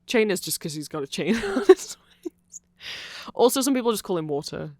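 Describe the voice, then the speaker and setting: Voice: mid-range voice, wildly swooping pitch, fairly quick, a little mellow, no room sound, pitched above the performer's own voice. Someone playing a nerdy, rambling vampire, close and dry. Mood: rambling, explanatory. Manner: rambling, digressive, self-conscious, apologetic. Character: nerdy rambling vampire band fan, eager and apologetic. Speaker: ASMR performer. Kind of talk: ASMR roleplay, unscripted rambling explanation.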